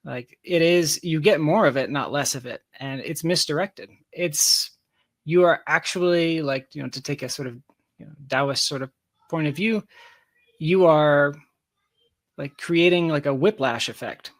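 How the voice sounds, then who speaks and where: A man with a slightly high voice speaks as a guest in a casual interview. He explains his thinking emphatically, often repeating phrases to drive them home. The sound is close and dry. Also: unhurried, no echo. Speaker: interview guest, tech company founder.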